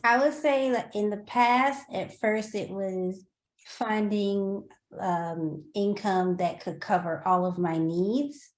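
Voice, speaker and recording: A woman sharing her experience with an online group, speaking in a slow, thoughtful way over a video call. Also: medium pitch. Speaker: workshop participant. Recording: echoey room.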